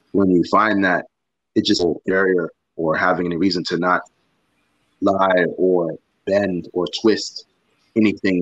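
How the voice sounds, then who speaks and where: Slow low man on dull, dry audio. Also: husky, no echo. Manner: slow, searching, hedging. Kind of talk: casual live show discussion.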